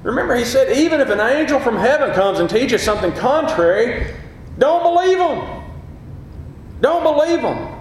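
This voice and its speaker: A man with a gruff, booming voice preaches with passion, pushing hard on his key points. His voice rings through a very reverberant room, and a faint low rumble of noise sits underneath. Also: very animated, normal speed. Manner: passionate, emphatic preaching with rising peaks. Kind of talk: sermon. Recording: very echoey hall.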